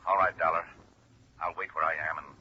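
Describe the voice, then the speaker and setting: A man's voice: sits low, little pitch movement, fast talker, muffled recording. An American man playing a calculating, formal crooked lawyer in a 1950s radio crime drama, insistent, heard over a telephone filter. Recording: noisy, sharp vintage radio phone voice.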